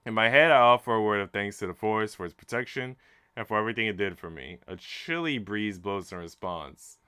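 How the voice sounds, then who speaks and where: Male game streamer, clean smooth tone, dry sound, moving between story reading and informal chat. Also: lowish voice, brisk, sharp tone, no echo. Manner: informal, slangy when chatting. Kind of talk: reading a visual novel aloud with casual chat.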